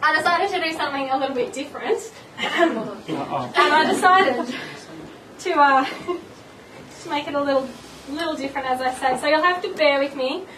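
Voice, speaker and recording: Nervous, high-voiced woman reading a speech. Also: normal speed, background noise. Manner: nervous, giggly, self-deprecating. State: slightly croaky voice. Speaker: bride-to-be giving engagement speech. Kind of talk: reading a speech and rhyming poem. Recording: distant, echoey mic with loud party ambience.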